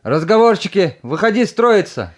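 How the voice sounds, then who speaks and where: A man's voice: sits high, very animated, moderate pace. A man in an audio play acting a stern young army commander who barks orders at recruits. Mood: strict. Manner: barking, commanding. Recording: music under the voice.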